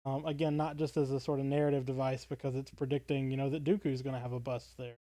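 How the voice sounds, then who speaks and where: A man's voice: medium pitch, flat delivery, fast, warm tone, no room sound. A man co-hosting a podcast, talking casually in an earnest and thoughtful manner. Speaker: podcast co-host.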